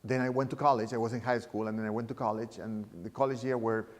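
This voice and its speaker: A man in his fifties from Chile, talking reflectively about his work as an artist, halting and often restarting his phrases as he searches for words. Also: mid-range voice, steady tone. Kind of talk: reflective interview talk. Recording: reverberant room sound.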